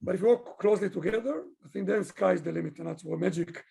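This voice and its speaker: A man from Israel with a husky, gravelly voice, talking at length and explaining his work as a consultant in a conversational interview. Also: high-pitched, fast talker, mellow. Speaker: business owner, digital consultant being interviewed.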